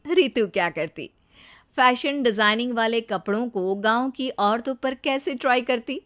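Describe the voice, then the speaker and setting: A woman narrates a cartoon tale. Her voice is fairly high. The delivery is scripted storytelling, addressed to listeners of a bedtime story. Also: unhurried, a bit bright.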